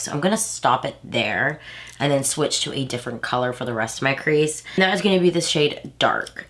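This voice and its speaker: A woman's voice: low-pitched, a bit bright. A woman in her thirties with a stuffy, nasal voice, chatting casually as she does a makeup tutorial for her channel. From Houston, Texas. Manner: chatty, rambling asides with many fillers. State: stuffy nose from allergies. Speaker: beauty vlogger.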